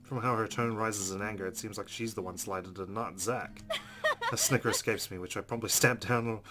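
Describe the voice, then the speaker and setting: A man's voice: on the low side, fairly even intonation, normal speed. A man hosting a let's play, reading game narration aloud and adding casual comments. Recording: game music behind the voice.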